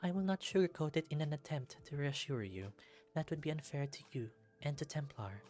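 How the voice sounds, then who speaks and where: A man voicing a stern, formal female bear superhero in a visual novel, speaking in measured, deliberate phrases. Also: on the high side, darkish tone, soft background music, voice pushed up, close to an everyday voice. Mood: firm, gentler.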